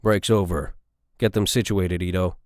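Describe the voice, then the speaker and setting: A man's voice: sits low, fairly even intonation, moderate pace, no echo, studio quality, lightly acted. A man voicing a stern, broad army sergeant giving orders in an audiobook. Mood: scolding. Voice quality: stern, commanding.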